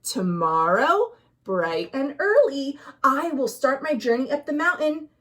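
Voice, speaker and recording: A woman's voice: sits high, big pitch swings, unhurried, a bit bright, voice pushed up, full character voice. A woman voicing a brave, curious and restless young village boy in a storybook, earnest and emphatic. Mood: determined.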